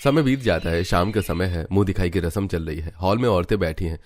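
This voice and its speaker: A man's voice: low voice, fairly quick, a little mellow, a little hiss or hum. A man narrating a scripted cartoon family story, setting each scene as a storyteller.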